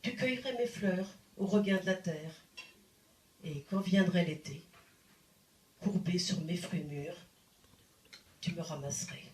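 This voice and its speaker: Woman, poetry recital, unhurried deliberate delivery, dramatic pauses, roomy live sound. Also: on the low side. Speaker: poet reciting. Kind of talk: expressive poetry recital with guitar. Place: indoor live poetry evening venue. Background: plucked guitar music.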